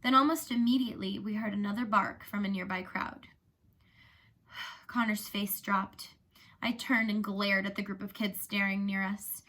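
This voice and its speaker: Bright-voiced woman reading a story aloud. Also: medium pitch, normal speed. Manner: animated, acts out the dialogue. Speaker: reader of a children's novel. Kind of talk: expressive read-aloud storytelling.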